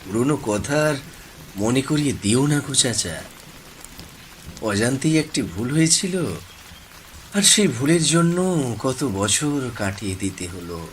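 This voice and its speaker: A man's voice: mid-range voice, expressive, unhurried, pauses often, a little hiss or hum, natural voice. A man voicing a weary, regretful villager just out of prison in a horror cartoon, sighing and speaking respectfully.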